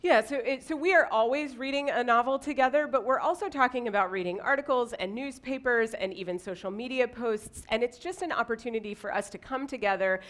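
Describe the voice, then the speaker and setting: A woman's voice: on the high side, brisk, hardly any pauses, fairly bright tone. A woman co-presenting a live slideshow talk to an audience.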